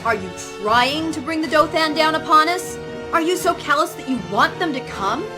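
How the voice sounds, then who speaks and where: An actress playing a stern, zealous religious leader in an audio drama, speaking in a formal, commanding, preaching way. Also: female voice, sits high, animated, moderate pace. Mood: stern, accusing. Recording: music playing behind the voice.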